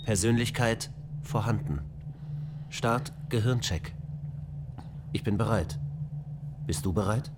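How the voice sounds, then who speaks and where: A man playing a male onboard computer in a radio drama, with a calm, clipped, commanding delivery. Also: on the low side, slow pace, long pauses. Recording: noisy radio play recording.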